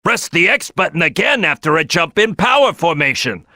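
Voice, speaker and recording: Big loud croc leader for a video game, gravelly and bright, bossy as anything. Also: male voice, on the high side, big pitch swings, moderate pace, dry recording, studio quality, full character voice. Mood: instructing, confident. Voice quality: gruff, gravelly. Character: big brash male crocodile detective, gruff team leader. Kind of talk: video game character acting.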